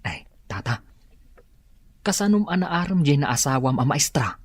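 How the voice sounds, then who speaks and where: A man's voice: fairly high pitch, big pitch swings, normal speed, slightly rough, no room sound. A man acting a lovesick, insecure younger Filipino man with an Ilocano accent in a comedy radio drama. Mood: plaintive, lovesick.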